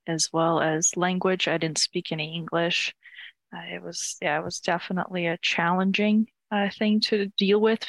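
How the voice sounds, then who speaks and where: Open and talkative, a young woman from Ukraine speaks as an interview guest with a slightly low voice. She laughs easily and restarts her sentences as she goes. The recording is close and dry, with no room sound. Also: no room sound. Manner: candid, laughs often, frequent restarts. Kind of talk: casual interview.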